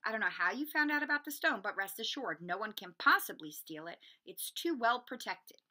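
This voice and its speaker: Woman acting out strict schoolmistress, curt, authoritative, sharp bright tone, emphatic rises and falls, storybook character. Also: a little high, very animated, a little fast, full character voice. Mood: firm, dismissive.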